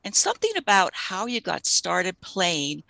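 A woman in her sixties introduces guests over a headset mic, her low voice crisp and edged with gravel. The sound is close and dry, and she sounds a touch flustered as she manages the call. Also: wildly swooping pitch, measured pace, bright, forceful tone, no room sound, poor audio. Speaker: host of a folk music society session. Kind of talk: scripted introduction on a video call. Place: living room at home. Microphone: headset mic on a video call.

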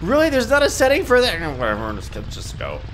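A man's voice: sits high, wildly swooping pitch, quick pace, fairly bright tone, slight background noise. A young man casually commenting on his game, stuffed-up and nasal, rambling and correcting himself with small giggles. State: congested, nasal from a stuffy nose. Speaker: gaming YouTuber.

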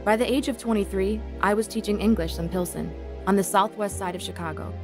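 A woman's voice: medium pitch, brisk, close to an everyday voice. An actress playing a rebellious, angry young woman in a first-person radio drama, both narrating and acting. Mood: reflective. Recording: music bed behind the voice. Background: music.

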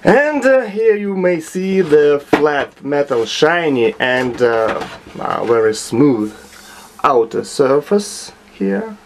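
A man with a Russian accent explaining his own restoration work in a small home workshop, speaking haltingly with many filler sounds and restarts. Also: a little high, sing-song, a little hiss or hum. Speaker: hobbyist restorer. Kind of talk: hesitant show-and-tell narration.

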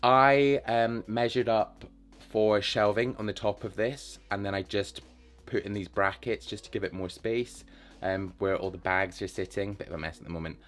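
Chatty low-voiced man touring his flat. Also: moderate pace. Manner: chatty, self-mocking, enthusiastic. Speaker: vlogger giving a flat tour. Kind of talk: casual walk-through chat. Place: rooms of a London flat, indoors. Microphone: handheld camera at arm's length.

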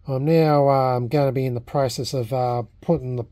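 An Australian man talking casually and unscripted in a workbench vlog, halting and thinking aloud as a hobbyist. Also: mid-range voice, fairly even intonation, dark tone, no room sound. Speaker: hobbyist vlogger restoring a vintage radio. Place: small indoor home workshop at a bench.

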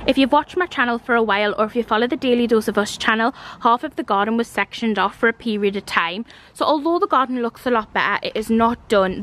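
A woman's voice: on the high side, fairly bright tone, a little hiss or hum. A young woman from Newcastle with a Geordie accent, giving a casual, chatty house tour as a vlogger. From Newcastle, North East England. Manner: chatty and informal. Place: walking through a house and garden. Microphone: handheld camera, held by speaker.

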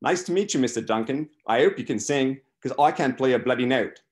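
A man voicing a brash, chatty young bass player from a novel, bossy and jovial. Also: lively intonation, a little fast, lightly acted. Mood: cheeky greeting.

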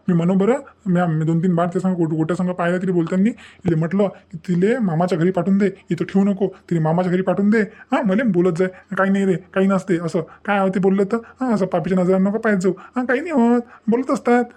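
A man's voice: high voice, fairly even intonation, fast talker, few pauses, a little mellow, close to an everyday voice. A man voicing an indignant, proud village man in a cartoon family drama, insistent and scolding, with a Varhadi Marathi accent. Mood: angry, blaming. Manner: insistent, scolding, full of rhetorical questions.

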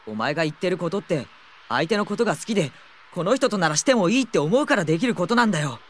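A man's voice: high voice, sing-song, fast, slight background noise. A man playing a blunt, wisecracking teenage schoolboy in an audio drama, speaking casually and expressively. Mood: earnest. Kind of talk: Japanese audio drama acting.

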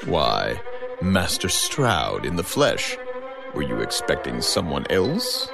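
An actor playing a theatrical horror radio show host in an audio drama, speaking in drawn-out patter. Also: male voice, rumbling low, huge pitch swings, creaky, music in the background, full character voice. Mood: sinister. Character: smooth male horror-show host Bob, menacing then pleading.

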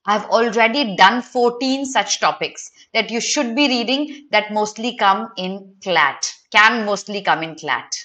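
A woman's voice: mid-range voice, a little slow, few pauses, pushed and bright. A young woman with an Indian accent teaching a live online exam prep class, giving instructions directly to students.

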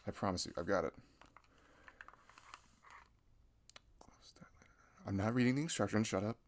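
A man playing a shy, sweet, flustered best friend in an intimate ASMR roleplay, hesitant, stammering and soft-spoken. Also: lowish voice, very fast, a little hiss or hum, close to an everyday voice. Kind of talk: ASMR roleplay, intimate one-sided dialogue.

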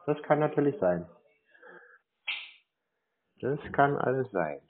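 A man, teasing a phone scammer in a playful, deadpan way. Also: mid-range voice, slow pace, narrow, tinny audio. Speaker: channel host baiting a phone scammer. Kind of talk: casual prank phone call.

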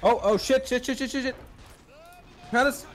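An excitable man chatting casually with teammates while playing a video game, often repeating words. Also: very high voice, normal speed. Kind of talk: casual gaming chat with teammates. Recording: noisy game audio behind voice. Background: video game battle sounds.

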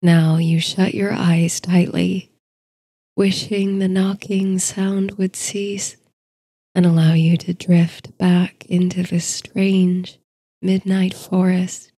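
Woman, lowish voice, very unhurried, soothing bedtime narration, hushed, soft breathy edges. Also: level tone, no echo. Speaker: host and narrator of a sleep story series. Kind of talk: slow bedtime story narration, guided meditation.

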